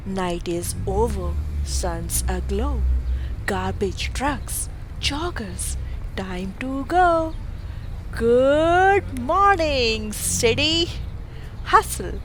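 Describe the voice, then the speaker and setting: A woman's voice: fairly high pitch, animated, very slow, fairly bright tone, a little hiss or hum. A woman reads a rhyming picture book aloud for preschoolers in a theatrical, exclamatory, rhythmic way, with music behind her. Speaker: children's book reader.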